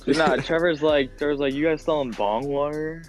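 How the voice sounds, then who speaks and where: A man's voice: fairly high pitch, normal speed. A young man chatting casually with friends, slangy and bantering as he tells stories. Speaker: podcast co-host. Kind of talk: casual chat. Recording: background music under voice. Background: music.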